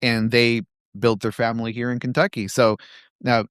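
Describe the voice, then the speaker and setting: Man from san diego, reflective storyteller, mid-pitched, dry studio sound. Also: level tone, moderate pace, fairly bright tone, clear, resonant tone, no echo. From San Diego, United States. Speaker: guest. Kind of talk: casual interview.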